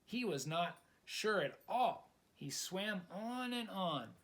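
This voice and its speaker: A man reading a children's story aloud with dramatic, expressive narration aimed at young listeners. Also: sits high, slow. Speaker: storytime reader.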